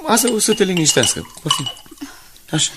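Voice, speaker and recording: A man playing a gentle, attentive husband in a radio drama, solicitous and coaxing in his questions. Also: high voice, very animated, heavy background noise. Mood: tender, coaxing. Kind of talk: 1980s Romanian radio drama acting. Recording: music faintly behind the dialogue.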